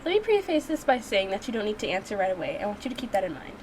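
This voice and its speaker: A woman voicing a serious male mafia boss from a game, speaking in a measured, formal, earnest way. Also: mid-range voice, very animated, close to an everyday voice. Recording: music behind the voice.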